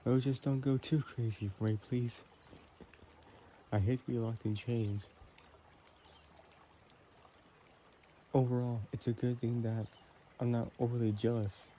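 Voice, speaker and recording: A man's voice: medium pitch, level tone, normal speed, dark tone, slight background noise, natural voice. A man acting as a possessive, romantic vampire boyfriend in an intimate audio roleplay, tender and teasing as he talks to the listener.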